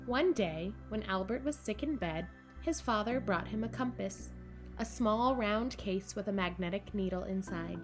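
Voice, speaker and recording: A woman reads a children's picture book aloud in a mid-pitched voice. Her phrasing is measured and deliberate, with pauses for drama, and music plays clearly behind her. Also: lively intonation. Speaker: storybook reader for children.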